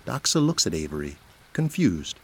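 A man's voice: low-pitched, very animated, normal speed, a little mellow, husky. A man delivering scripted, present-tense narration for an audio drama. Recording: music behind the voice.

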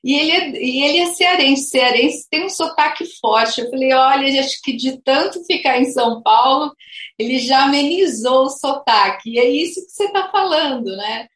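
Female interviewer, Brazilian, slightly high register, conversational delivery, noticeable room echo. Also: moderate pace, fairly bright tone. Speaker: interviewer, voice specialist. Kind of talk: casual interview chat. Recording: roomy, echoing sound.

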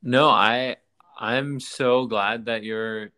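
A man's voice: medium pitch, steady tone, very slow, bright, forceful tone, smooth. A man hosting a podcast in casual chat, rambling and often restarting his sentences. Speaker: podcast host.

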